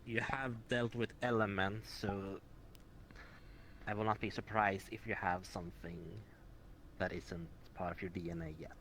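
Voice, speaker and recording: A man acts out a measured examiner of the supernatural in an online roleplay game. His voice sits on the low side and he explains things deliberately, with emphasis. There is faint noise on the amateur voice-chat recording. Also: steady tone, fairly bright tone, close to an everyday voice. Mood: emphatic, clinical. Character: analytical male examiner of the supernatural, measured and cautious. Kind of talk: improvised virtual-reality roleplay.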